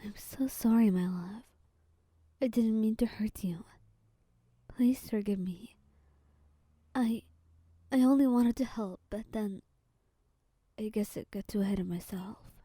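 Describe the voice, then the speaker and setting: A woman voicing a young male lover who apologizes in a soft, whispery voice after snapping in anger. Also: medium pitch, unhurried, pauses often, slightly rough, dry recording, clean studio sound. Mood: apologetic. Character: young man lover, remorseful after an outburst.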